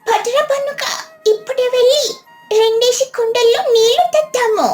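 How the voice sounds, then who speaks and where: A woman's voice: extremely high pitch, normal speed, music in the background. A woman voicing a tiny, caring child bird in a children's cartoon, earnest and determined. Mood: worried, determined.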